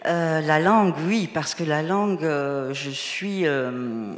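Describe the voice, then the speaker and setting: A woman's voice: low voice, slow pace. Woman's voice in a reverberant hall. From Moselle, eastern Lorraine, France. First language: Moselle Franconian German dialect. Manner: animated and emphatic, repeating words, many restarts. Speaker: novelist guest on a panel. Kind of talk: round-table discussion at a book fair. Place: public event hall. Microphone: table microphone. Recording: echoey hall with long reverb.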